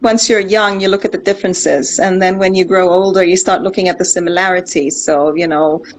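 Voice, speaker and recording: A woman's voice: on the low side, steady tone, fairly quick, a little hiss or hum, rough recording. A middle-aged woman from Austria, a guest in a casual interview, talking in a reflective, rambling way with many fillers and restarts.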